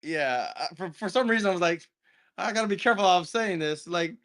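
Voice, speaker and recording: A man's voice: high-pitched, fast talker, fairly bright tone. A man, co-hosting a casual film review chat and explaining at length with many restarts. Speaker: podcast co-host.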